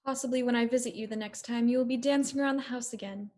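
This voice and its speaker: A woman's voice: a little high, steady tone, fast, mellow, lightly acted. A woman playing a kindly, busy married sister, chatty and solicitous, in a dramatic reading of an old play.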